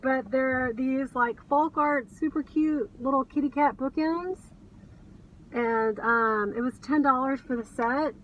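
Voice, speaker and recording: A woman's voice: sits high, a little slow, narrow, tinny audio. American lady vlogging in her car, gushy casual chat, slightly distant mic w some background noise. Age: middle-aged. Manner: gushing, enthusiastic. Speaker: reseller vlogger. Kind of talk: casual chat to camera, showing thrift finds. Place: inside a car.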